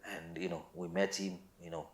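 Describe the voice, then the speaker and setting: Low-voiced Kenyan man in an echoey room. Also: flat delivery. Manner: halting, repetitive, mixes in Swahili words. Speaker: musician, interview guest. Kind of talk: casual conversational storytelling. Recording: roomy, echoing sound.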